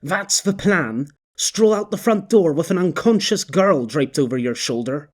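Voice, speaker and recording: A man voicing a sarcastic crook who mocks his boss, with a husky voice. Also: on the high side, expressive, a little slow. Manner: sarcastic, mocking.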